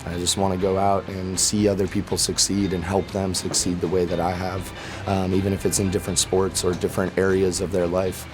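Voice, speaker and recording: A man from Florida, a military veteran, telling his own story in an earnest, steady way. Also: deep voice, brisk, few pauses, over music, rough recording. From Florida, USA. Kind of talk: personal testimonial.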